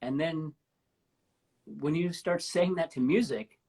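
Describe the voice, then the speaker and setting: Deep husky woman, enthusiastic talker. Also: slow, dark tone. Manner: enthusiastic, rambling storyteller. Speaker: host. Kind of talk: casual live-stream chat. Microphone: live stream from home.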